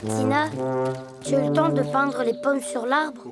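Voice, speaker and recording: A woman playing a cautious, earnest, fretful little boy in a French children's cartoon, childlike and expressive. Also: high voice, normal speed, close to an everyday voice. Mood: patient, instructive. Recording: loud music playing behind.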